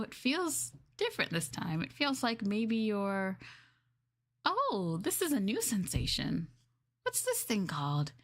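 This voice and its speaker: An affectionate slime girl, played by a woman, speaks intimately to a partner in an ASMR roleplay. The delivery is coy and doting, with playful curiosity and gentle teasing. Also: medium pitch. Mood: tender, playful, then curious wonder. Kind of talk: ASMR roleplay script reading.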